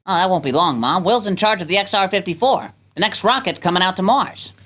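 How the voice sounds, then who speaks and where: A woman's voice: fairly low pitch, animated, fairly quick, fairly bright tone. A woman playing a man, a dutiful, affectionate grown son who commands a navy rocket, in a sci-fi radio play. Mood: easygoing, proud.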